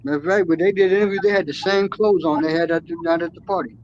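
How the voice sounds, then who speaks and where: A man's voice: high-pitched, steady tone, very fast, a little mellow, slight background noise. A man guest on a casual live show who rambles and restarts his sentences often. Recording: dull lo-fi audio.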